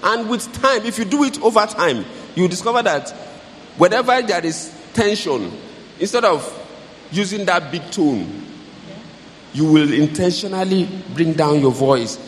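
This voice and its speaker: A man with a big, loud voice giving advice to an audience, teaching with repeated key phrases. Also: high voice, expressive, a little slow, a little hiss or hum. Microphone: handheld mic. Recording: echoey hall with faint ambience.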